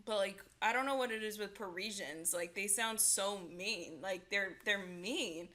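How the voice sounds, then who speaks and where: A woman's voice: medium pitch, unhurried, sharp tone. A woman from Orange County with a Southern Californian accent, chatting as a guest and filling her speech with "like". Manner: chatty, lots of filler "like". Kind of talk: casual chat.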